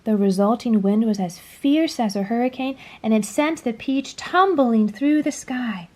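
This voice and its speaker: Woman, children's read-aloud, slow pace, dramatic, deliberate storytelling. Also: a little high, darkish tone. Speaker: storybook reader.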